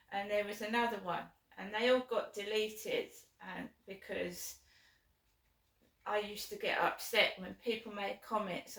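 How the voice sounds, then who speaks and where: A woman with a sore throat vlogging alone from her flat, rambling and aggrieved, with many ums. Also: mid-range voice, gravelly. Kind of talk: casual chat to camera. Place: small flat, indoors. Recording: echoey room, mic at a distance.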